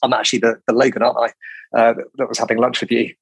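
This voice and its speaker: A man in his forties, a recruitment business leader talking as a podcast guest in a quiet, calm, measured way with frequent restarts. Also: medium pitch, vocal fry, husky, dry recording. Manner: quiet, calm, many restarts and repeated words. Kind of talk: measured business interview talk.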